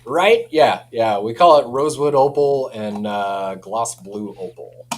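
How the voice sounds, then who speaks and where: A man's voice: mid-range voice, expressive, measured pace, a little hiss or hum. A man chatting casually and enthusiastically about products as a company representative, in informal language. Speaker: audio company representative, guest.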